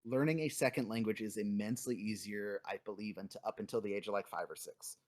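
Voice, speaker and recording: A man hosting a podcast in a relaxed, conversational way, often trailing off quietly. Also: on the low side, fast, no room sound. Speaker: podcast host. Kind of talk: casual podcast chat.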